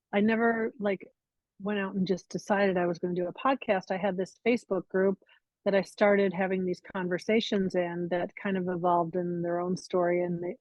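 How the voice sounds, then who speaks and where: A woman's voice: medium pitch, a little mellow, no echo. A woman telling a rambling story about starting her podcast, chatty and full of restarts. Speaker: podcaster in group call. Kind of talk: casual storytelling. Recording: dull dry lo-fi sound.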